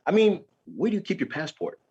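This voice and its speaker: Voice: medium pitch, huge pitch swings, brisk, vocal fry, mellow, husky, exaggerated voice. A performer playing a candid, fussy male co-op worker in a comedy table read, blunt and fussing. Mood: concerned, exasperated. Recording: dull, lo-fi sound.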